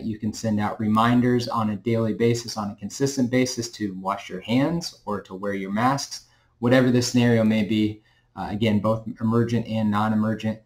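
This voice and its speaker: A middle-aged man with a medium-pitched voice talks through a product for his company. He speaks in a plain, conversational sales style, recorded at a computer in a small indoor room. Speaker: company representative presenting a product. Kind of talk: informal product explainer to camera.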